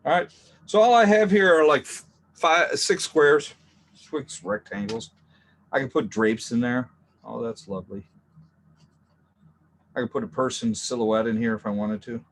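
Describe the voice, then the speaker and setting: Chatty, relaxed male art teacher. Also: mid-range voice, a little slow, long pauses, a little hiss or hum. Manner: relaxed, chatty, jokey asides. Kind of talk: casual drawing lesson.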